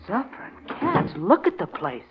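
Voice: mid-range voice, huge pitch swings, moderate pace. An actor playing an eager, slangy American teenage boy in a 1940s radio drama. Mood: dismayed. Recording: dull, very noisy old radio recording.

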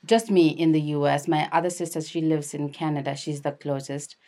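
Low-voiced young Nepali woman. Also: fairly even intonation, brisk, warm tone. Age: young adult. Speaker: interview guest, activist and filmmaker. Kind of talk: conversational personal storytelling.

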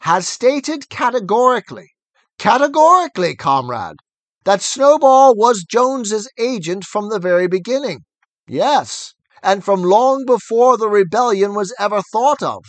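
A man voicing Squealer, a persuasive, excitable male pig who talks fast and insistently. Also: sits high, no room sound, pitched above the performer's own voice. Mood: solemn. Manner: smooth, insistent, wheedling.

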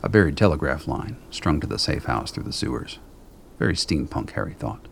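A man narrating a scripted radio drama in a measured, dry, literary storytelling manner. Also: a bass voice, sing-song, gravelly, slight background noise.

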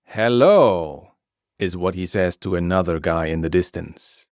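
A man presenting a scripted language lesson as teacher and host, speaking deliberately and repeating phrases for learners. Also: sits low, darkish tone, no room sound, clean studio sound.

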